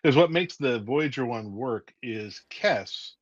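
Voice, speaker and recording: A man, a writer interviewed as guest, telling stories in a lively way and acting out scenes. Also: medium pitch, takes it slowly, dry recording, muffled recording. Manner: lively, acts out scenes with voices. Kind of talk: animated storytelling in a casual interview.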